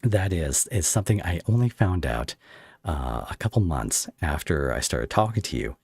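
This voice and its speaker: A man hosting a casual interview podcast, effusive and halting as he thinks aloud. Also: deep voice, sing-song, vocal fry, a little mellow, slightly rough, dry recording, clean studio sound. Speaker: podcast host interviewing a creator.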